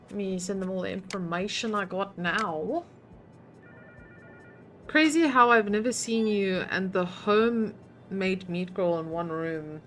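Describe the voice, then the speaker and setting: A woman's voice: mid-range voice, takes it slowly, pauses often, fairly bright tone, soft background music. A woman streamer casually commenting on her game, muttering to herself while solving puzzles and chatting with viewers.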